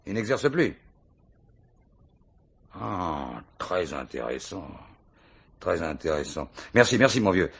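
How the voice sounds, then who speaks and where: An actor playing a gruff, impatient police commissioner who is bossy and teasing with his subordinate, in a French radio crime drama. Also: fairly low pitch, fairly bright tone, heavily acted. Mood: businesslike, intrigued.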